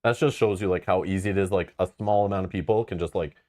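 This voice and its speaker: Man with a very low voice, casual stream host, rambly, frank, lots of fillers. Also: fast talker, warm tone. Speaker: board game channel host. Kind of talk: casual live-stream chat.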